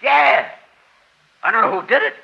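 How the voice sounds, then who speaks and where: A crude American henchman in a 1940s radio crime drama. The voice is extremely high, creaky and piercing, full of thuggish slang. It comes through a muffled old recording. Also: expressive, moderate pace, pushed and bright, heavily acted. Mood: agitated. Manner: slangy, ungrammatical thug talk. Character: crude, eager male gangster henchman.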